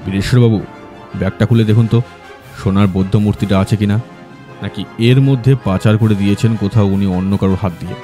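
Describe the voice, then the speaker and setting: A man's voice: on the low side, steady tone, fast talker, warm tone, faint music behind, natural voice. A man playing a shrewd, confident detective in an audio drama, giving instructions in a commanding manner. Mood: brisk commanding.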